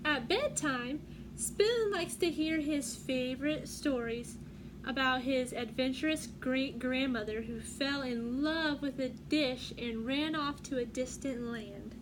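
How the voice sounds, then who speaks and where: A woman's voice: sits high, slow pace. A woman reading a picture book aloud for young children in a teacherly way, putting questions to her listeners. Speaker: read-aloud storyteller. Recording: clearly noisy room background.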